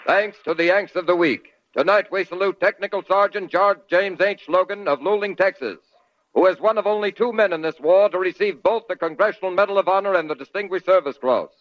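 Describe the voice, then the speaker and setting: A man's voice: high-pitched, brisk. A man with an American accent reading a tribute in 1940s radio announcer style. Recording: muffled old radio recording, music behind.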